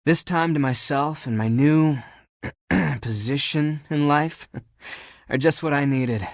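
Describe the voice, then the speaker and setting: A man's voice: on the high side, takes it slowly, vocal fry, no room sound, studio quality. A man playing a living pile of laundry in a dating game, a flirtatious and sensitive swaggerer with a theatrical, emotive delivery. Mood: flirty, content. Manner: flirtatious, theatrical, emotive, swinging from guarded to grandiose. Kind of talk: dating game character voice acting.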